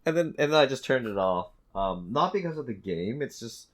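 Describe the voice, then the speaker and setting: A man co-hosting a podcast in casual chat, halting and repeating words for emphasis. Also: mid-range voice, big pitch swings. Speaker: podcast co-host. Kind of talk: casual chat about video games.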